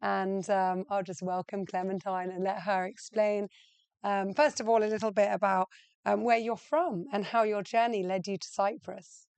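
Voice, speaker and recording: A woman's voice: medium pitch, little pitch movement, normal speed, a little mellow, clear, resonant tone, no echo. A woman hosting a casual interview show, talking in an enthusiastic, effusive way. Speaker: show host.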